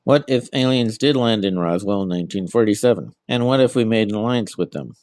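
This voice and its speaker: A man's voice: fairly low pitch, expressive, fairly quick, a little mellow, no echo, clean studio sound. A middle-aged man being interviewed as an author, giving answers about their own work.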